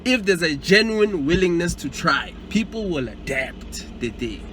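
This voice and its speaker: A South African man with a slightly high voice argues his point firmly and cuts in on the other speaker. Clear street and traffic noise runs under everything he says. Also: sing-song, unhurried. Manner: firm, argumentative, keeps interrupting. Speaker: co-host. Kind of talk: casual debate chat. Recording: noisy street traffic in background.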